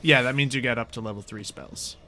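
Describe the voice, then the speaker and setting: A man with a bright, keen-edged voice runs a tabletop game. He improvises the action and talks through the rules casually. A light layer of insect-like ambience sits behind his medium-pitched voice. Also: brisk, a little hiss or hum. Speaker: game master.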